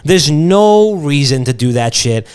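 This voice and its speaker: A man's voice: a little high, lively intonation, a little slow, a little mellow, smooth. A man co-hosting a podcast in casual, opinionated chat, blunt and emphatic with lots of cursing. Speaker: podcast co-host.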